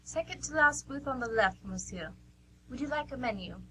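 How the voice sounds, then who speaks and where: A woman's voice: sits high, animated, moderate pace, pushed and bright, husky, full character voice, rough recording. A woman playing a polite, formal French restaurant waitress in an amateur radio play.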